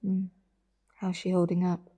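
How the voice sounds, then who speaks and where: An actress playing a dry, concerned sister in a naturalistic audio drama, with a wry, conversational delivery. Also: female voice, on the low side, completely flat delivery, unhurried, warm tone, slightly rough. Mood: gentle, reflective. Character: dry, concerned adult sister keeping vigil.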